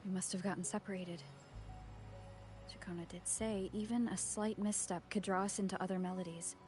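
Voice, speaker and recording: A woman playing a puzzled, searching young adventurer in a game, speaking in a hushed, questioning way. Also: mid-range voice, fairly even intonation, moderate pace, soft background music, natural voice. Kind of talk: game dialogue voice acting.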